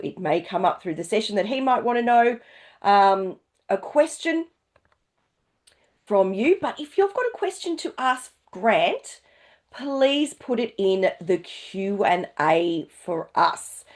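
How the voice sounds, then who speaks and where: A woman hosting an online session, informally explaining housekeeping to the audience and introducing a guest. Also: on the high side.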